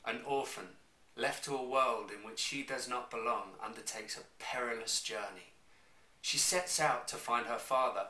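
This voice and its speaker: A young man playing an energetic storyteller, narrating a pirate adventure dramatically for a radio play. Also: fairly low pitch. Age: young adult. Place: small room, indoors. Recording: roomy, distant camera sound.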